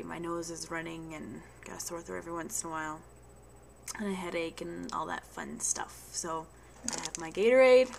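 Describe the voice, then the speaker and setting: A woman's voice: on the low side, creaky, slight background noise. Stuffy-voiced young woman with a cold. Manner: chatty, rambling, many ums and restarts. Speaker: vlogger. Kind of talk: casual video diary. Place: at home.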